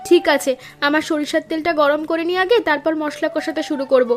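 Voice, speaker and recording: Woman doing a high-voiced, polite cartoon daughter-in-law, eager and respectful, soft music under. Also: fairly even intonation, brisk, close to an everyday voice. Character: elder daughter-in-law, sensible and traditional. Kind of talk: cartoon voice acting.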